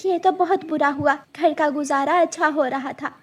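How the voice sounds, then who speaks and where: A worried, dutiful cartoon mother bird, voiced by a woman, very high in pitch. She talks softly and humbly, always earnest. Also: moderate pace, faint music behind, lightly acted.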